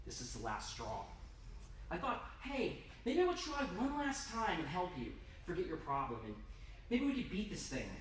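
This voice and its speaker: A man's voice: high-pitched, huge pitch swings, moderate pace, pitched above the performer's own voice. A man voicing a bitter, scornful, fed-up middle-aged wife in a live story reading, harsh and mocking. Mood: exasperated. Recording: roomy, distant, noisy live event recording.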